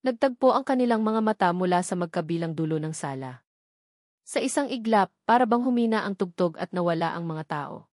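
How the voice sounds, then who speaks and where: A woman's voice: on the low side, expressive, a little fast, a little mellow, no echo, studio quality. A woman storyteller giving scripted, dramatic audiobook narration.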